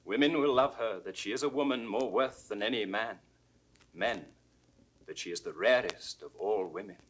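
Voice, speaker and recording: An actor playing an effusive, admiring courtly gentleman in Shakespearean verse, with a husky, forceful voice. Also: lowish voice, normal speed, poor audio. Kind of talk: classical Shakespearean stage acting.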